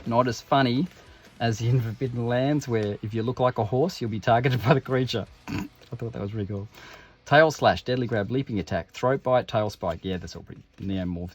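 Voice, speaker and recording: A man hosting an unboxing video with casual, informal commentary, full of jokey asides and self-interruptions. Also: medium pitch. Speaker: host of tabletop game unboxing videos.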